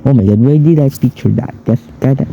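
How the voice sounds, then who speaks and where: A man with a hoarse, raw voice giving casual, rambling commentary over gameplay for his channel. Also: medium pitch, fast, dark tone, a little hiss or hum, low-quality recording. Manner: chatty, rambling, addresses viewers as guys. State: hoarse, low voice from a raw throat. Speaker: gaming YouTuber.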